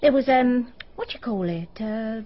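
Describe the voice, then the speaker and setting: An actress playing a chatty, gossipy village woman in a BBC radio drama, telling stories in a brisk, knowing manner. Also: on the high side, lively intonation. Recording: dull archive radio recording.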